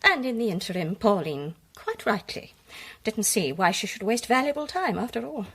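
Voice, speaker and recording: Worldly English woman, poised, wry, politely cutting, lively intonation, faintly husky; radio drama. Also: medium pitch, moderate pace, heavily acted. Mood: amused, arch.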